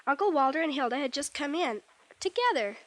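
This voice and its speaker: Old-time radio ingenue, young American ranch girl; high-pitched, animated, earnest, naive, close dry sound. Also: a little fast, no room sound. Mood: chatty, puzzled. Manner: girlish, earnest, hesitant. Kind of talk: 1950s radio drama acting.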